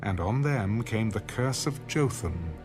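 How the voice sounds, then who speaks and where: Male narrator, low register, solemn measured scripture reading with music underneath. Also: animated. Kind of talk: dramatized audio Bible narration. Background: background music.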